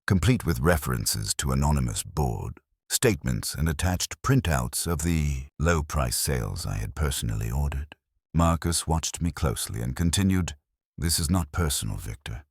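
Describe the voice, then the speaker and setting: A man with a very low voice narrates a scripted story. His delivery is measured and formal, yet the pitch moves up and down in lively fashion. The sound is dry and close, with little room in it. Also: breathy edge, no room sound.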